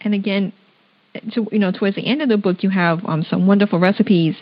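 Woman interviewer, mid-pitched, chatty, lo-fi audio. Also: steady tone, a little fast. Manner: chatty, personal asides with laughter. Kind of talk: casual chat. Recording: dull lo-fi audio.

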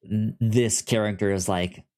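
A man's voice: on the low side, steady tone, slow, a little mellow. A man hosting a podcast, retelling a comic plot in loose casual chat, often stuttering into repeated syllables. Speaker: podcast host. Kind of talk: casual comic book discussion.

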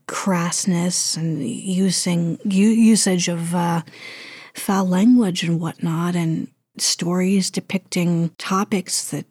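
A woman's voice: lowish voice, a little mellow, no echo, studio quality. A woman being interviewed as a guest, speaking conversationally and thoughtfully, feeling her way through her phrases. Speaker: interview guest, audio drama producer and voice actor.

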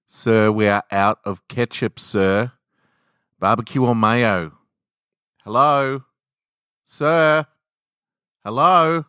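Man putting on an insistent, higher-pitched counter girl. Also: drawn-out words, long pauses, sharp tone, clear, resonant tone, dry recording, studio quality. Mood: impatient, insistent. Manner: overpolite, insistent customer-service tone. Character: young female fast-food counter worker, firm, overpolite, dismissive.

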